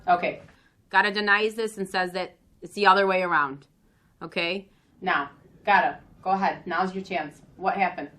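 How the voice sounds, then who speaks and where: A woman's voice: lowish voice, fairly even intonation, moderate pace, fairly bright tone, echoey room, natural voice. A woman playing a firm school staff member who mediates a student dispute with pointed questions and instructions in an amateur dramatization. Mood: firm, probing.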